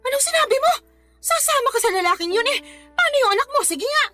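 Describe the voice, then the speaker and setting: A woman's voice: extremely high pitch, big pitch swings, fast talker, bright, forceful tone, faint music behind, exaggerated voice. A woman playing an indignant, nagging Filipino grandmother who protects her grandchild, in a radio drama. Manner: exasperated, nagging, exclamatory.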